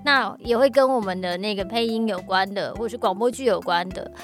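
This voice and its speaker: A woman's voice: medium pitch, a little fast. A woman with a Taiwanese Mandarin accent hosting a casual podcast chat in a playful, teasing way. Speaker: podcast host. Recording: music playing underneath.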